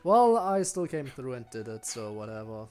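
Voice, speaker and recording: A man's voice: medium pitch, sing-song, normal speed, mellow, clear, resonant tone, soft background music. A man giving casual, rambling live game commentary, musing aloud to his viewers. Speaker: game streamer.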